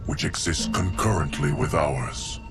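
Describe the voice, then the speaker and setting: A man's voice: rumbling low, expressive, measured pace, loud music behind the voice. A man playing an ancient, solemn guardian of time in a video game, with a formal, stately, certain delivery. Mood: grave certainty. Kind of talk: dramatic video game cutscene acting.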